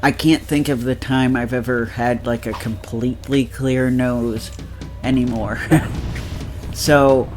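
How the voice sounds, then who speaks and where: Nasal guy co-hosting a let's play, talks slow and rambly, some music in the back. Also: medium pitch, fairly even intonation. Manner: rambling, laughs often, many fillers. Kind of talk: casual chat over gameplay.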